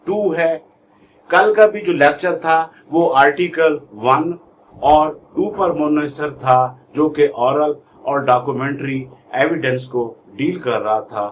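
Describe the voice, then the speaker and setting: Slow-speaking Pakistani man giving a lecture. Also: a little high. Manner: deliberate, emphatic, repeats key terms. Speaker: law lecturer, YouTube channel host. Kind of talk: instructional lecture. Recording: faint background noise.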